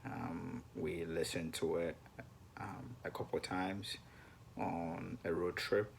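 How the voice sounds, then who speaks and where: A man's voice: deep voice, fairly even intonation, slow pace, vocal fry, a little hiss or hum. A young man co-hosting a personal finance chat to camera, talking hesitantly with many ums and restarts. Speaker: co-host of a personal finance channel.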